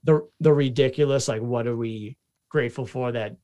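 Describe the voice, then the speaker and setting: A man's voice: medium pitch, normal speed, mellow. A young man with a heavy Boston accent hosting a podcast, talking casually and saying "super" and "like" a lot. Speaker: podcast host. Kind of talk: casual chat.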